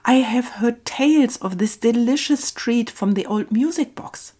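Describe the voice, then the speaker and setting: A woman's voice: a little high, measured pace, voice pushed up. A woman reading the part of an eager little male plush hedgehog in a storybook fairy tale. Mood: excited. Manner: excited, wide-eyed.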